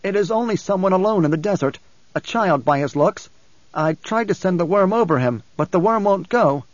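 A man's voice: sits high, lively intonation, measured pace, no echo, pitched above the performer's own voice. A superstitious young desert guide, played by a male narrator in an audiobook, anxious and full of protest. The voice sits well above a man's normal talk, recorded dry and a little dull. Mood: fearful, defensive.

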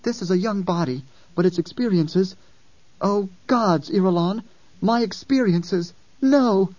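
A man's voice: high-pitched, a little slow, a little mellow, dry recording, pitched above the performer's own voice. A man voicing a fierce young girl who carries ancient memories, speaking with grave intensity in an audiobook. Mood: anguished intensity. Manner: intense, grave, sometimes pleading. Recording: dull, distant, dry sound.

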